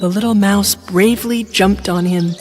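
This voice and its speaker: A woman's voice: medium pitch, steady tone, moderate pace, darkish tone, faint music behind. A woman reading scripted narration for a children's bedtime story.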